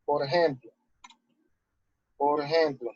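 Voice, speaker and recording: A male teacher with a Latin American Spanish accent explains and reads aloud in a didactic way. His voice is husky and sits at a middling pitch. The recording is muffled and very dry, with little room sound. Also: steady tone, slow pace, a little mellow, no echo. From Ecuador. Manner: didactic, checking with rhetorical questions. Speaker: high school language and literature teacher. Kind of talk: online lesson, explaining and reading a text aloud.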